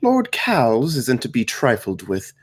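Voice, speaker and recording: A man at a table read playing a female undead necromancer who is refined, unhurried, archly polite and casually menacing. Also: mid-range voice, huge pitch swings. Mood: cool, assured.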